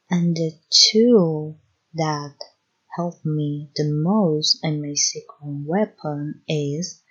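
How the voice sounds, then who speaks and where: A young woman hosts her own podcast in a slow, unhurried way. Her voice sits low and a little husky, and she often pauses to search for the right English words. The recording sounds dull and lo-fi. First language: Spanish. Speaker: podcast host. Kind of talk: solo podcast monologue.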